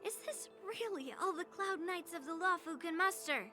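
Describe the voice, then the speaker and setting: A woman's voice: extremely high pitch, lively intonation, normal speed. A woman playing a brash young swordswoman in anime game dialogue. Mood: cocky, challenging. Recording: game dialogue over clear music.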